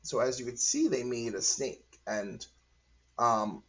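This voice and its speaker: A man reading a picture book aloud to children in an animated, husky voice. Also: mid-range voice, slow. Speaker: read-aloud storyteller. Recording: dull, lo-fi recording.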